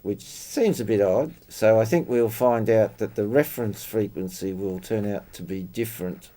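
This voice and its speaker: A man chatting casually about technical matters as a club member, explaining details in a halting way. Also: on the low side, mellow. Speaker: club member discussing radio gear.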